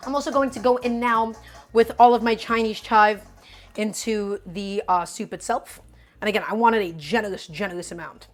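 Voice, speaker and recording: A woman's voice: medium pitch, moderate pace. A young woman hosting a live cooking stream. She talks to her viewers in a chatty, upbeat way, often calling them "guys" and hyping them up. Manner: upbeat, hypes up the audience.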